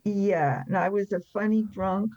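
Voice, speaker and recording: A woman's voice: medium pitch, steady tone, measured pace, a little mellow, muffled recording. An older woman in her seventies or beyond, telling her personal story candidly in an interview in a plain-spoken, matter-of-fact way. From born in France, emigrated after the war. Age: 70s or older.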